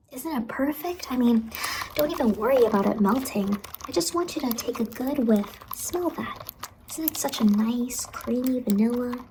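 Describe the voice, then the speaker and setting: A sinister clown lady acted by a woman speaks in a high, soft voice, luring and falsely reassuring the listener. It is horror ASMR roleplay, recorded close to the mic with clear noise behind the voice. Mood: coaxing, eerie. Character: sinister, coaxing female ice cream truck clown. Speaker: ASMR performer. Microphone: close ASMR microphone.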